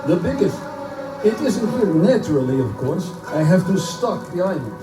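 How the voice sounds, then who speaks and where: A man acts out a proud Russian nobleman and general who lives for the hunt. His delivery is courtly, boastful and theatrical, in a somewhat high male voice. It is a live pulp drama heard in a reverberant room with clear music underneath. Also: sing-song, normal speed, recorded from a distance. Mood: boastful, menacing. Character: suave, aristocratic Russian general, cold obsessive big-game hunter. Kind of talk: live dramatized pulp-fiction stage reading. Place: bookstore live performance before microphone.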